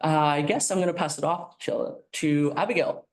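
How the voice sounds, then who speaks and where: A man's voice: on the high side, normal speed. A man moderating a panel discussion, introducing speakers and asking questions in a casual way. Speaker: moderator, data scientist.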